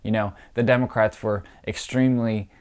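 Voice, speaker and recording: A man's voice: lowish voice, steady tone, moderate pace, a little mellow, clean tone. A young man talking in casual political commentary, congested and nasal from allergies, opinionated and scornful with many fillers. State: congested, stuffy nose from allergies.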